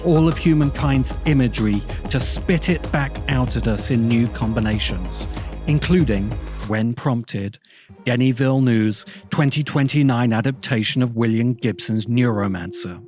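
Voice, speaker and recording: A man reading a scripted video essay in an earnest, measured, literary manner. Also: mid-range voice, a little mellow. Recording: music bed under the voice. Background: background music.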